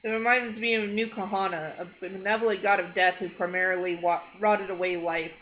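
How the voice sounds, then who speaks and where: A woman giving casual reaction commentary as a video host, blunt, expressive and freely swearing. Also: mid-range voice, fairly quick, a little hiss or hum, rough recording.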